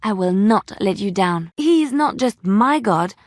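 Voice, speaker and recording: An earnest young woman of faith in a fantasy game. Her mid-pitched voice is gentle, polite and hopeful, recorded dry and close. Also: lively intonation, measured pace, dry recording. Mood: grateful, devout. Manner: earnest, polite, softly pleading. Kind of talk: fantasy video game acting.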